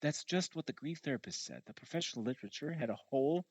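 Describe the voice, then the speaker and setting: A man's voice: medium pitch, expressive, fast talker, dry recording. A man reading a first-person novel aloud live, with an emphatic, conversational delivery. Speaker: audiobook reader. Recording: dull, lo-fi audio.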